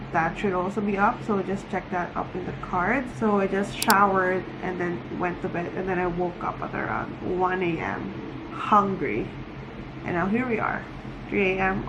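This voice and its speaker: A woman's voice: medium pitch, moderate pace, background noise. A woman talking casually and giggly to viewers in a rambling, conversational video diary, recorded indoors at home. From Philippines. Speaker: book vlogger.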